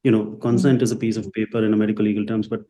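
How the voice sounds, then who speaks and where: A man's voice: lowish voice, flat delivery, racing, warm tone. A man from India whose first language is Hindi, a psychiatrist answering interview questions with many fillers and restarts. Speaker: psychiatrist and company founder.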